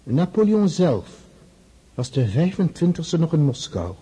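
An actor playing a stern, reproachful Russian tsar, reading out a letter in a measured, formal manner in a radio drama. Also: male voice, a little high, big pitch swings, dark tone, slightly rough, exaggerated voice.

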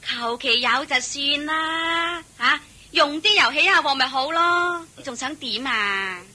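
An actress playing a grudging, hard-up young wife in a radio drama, speaking curtly with a Hong Kong Cantonese accent. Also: female voice, high voice, a little slow, sharp tone, exaggerated voice. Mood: irritated, complaining. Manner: grumbling, curt, sighing. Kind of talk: Chinese radio drama acting.

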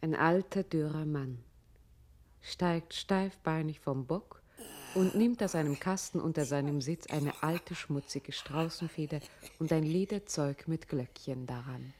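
A radio play narrator with a low-pitched voice. Her delivery is sober and measured, setting out each scene as plain description. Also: a little mellow. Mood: descriptive.

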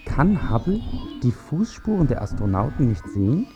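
A man's voice: mid-range voice, big pitch swings, normal speed, over music, lightly acted. An actor playing a man who expounds in emphatic declarations in a scripted radio drama. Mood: declarative. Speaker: radio play speaker. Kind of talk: scripted German radio drama, essayistic narration.

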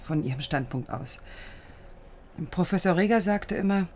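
An actress playing a proud, sharp-tongued young woman architect who probes and challenges in radio drama dialogue. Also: on the low side, lively intonation, normal speed, a little hiss or hum, natural voice. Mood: wounded, argumentative. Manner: challenging, probing, teasing.

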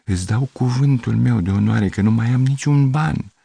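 A man voicing a flustered, tired middle-aged musician who pleads and stammers anxiously, his voice rising high in agitation. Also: gravelly, warm tone, slightly rough, dry recording.

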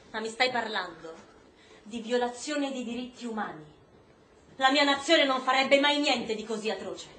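Casting: stubborn American woman reporter, patriotic, indignant, radio drama, high-pitched, echoing room. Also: fairly bright tone, a little hiss or hum. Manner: insistent, defensive. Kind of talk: Italian theatrical radio drama dialogue. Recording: roomy stage-like echo.

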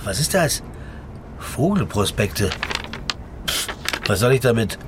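A man's voice: medium pitch, big pitch swings, takes it slowly, noisy background. An actor playing a pedantic, weary middle-aged man who tells his story in a circumstantial, self-ironic way. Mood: bemused. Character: wordy, pedantic middle-aged male legal counsel, weary and self-doubting. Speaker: narrator and protagonist. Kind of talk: scripted literary radio drama monologue.

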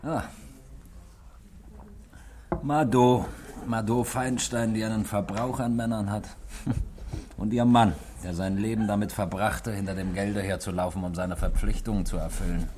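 An actor playing a bitter, genial but evasive drinker in a German radio play, musing theatrically and with irony. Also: male voice, fairly low pitch, fairly even intonation, unhurried, long pauses, a little mellow, a little hiss or hum, lightly acted.

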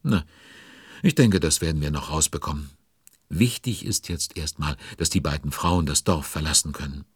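Avuncular storyteller, extremely low voice, husky, exclaiming dramatic narration for children. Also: male voice, normal speed, dry recording, studio quality, heavily acted. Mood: confiding. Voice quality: very deep, husky. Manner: addresses young listeners with exclamations and rhetorical questions.

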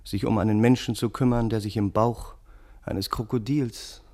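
An actor playing a wry, long-suffering, courteous gentleman who narrates a radio play. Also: fairly low pitch, steady tone, moderate pace, natural voice. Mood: wry, measured. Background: balalaika music.

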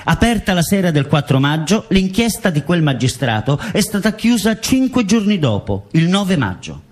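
A man's voice: high-pitched, very animated, normal speed. A man playing a formal, pompous church official in a theatre drama, declaiming legal findings in bureaucratic phrases. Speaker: stage actor in a documentary theatre play. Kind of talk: theatrical reading of official statements.

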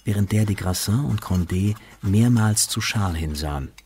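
A man's voice: on the low side, moderate pace, darkish tone, slight background noise. A man reading scripted literary narration as a narrator in a radio drama.

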